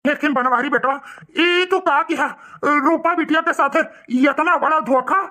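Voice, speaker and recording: A man's voice: very high voice, normal speed, sharp tone. Man voicing an earnest older Awadhi family elder. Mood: shocked, reproachful. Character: caring, upright older father-in-law, the family elder. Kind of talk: cartoon family drama acting.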